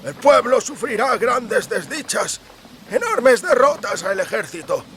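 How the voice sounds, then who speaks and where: A man voicing a doom-laden merchant of amulets in an audiobook, declaiming ominous prophecies in slow, drawn-out phrases. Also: very high voice, big pitch swings, crowd noise, pitched above the performer's own voice, heavily acted. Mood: ominous, prophetic. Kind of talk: audiobook character acting.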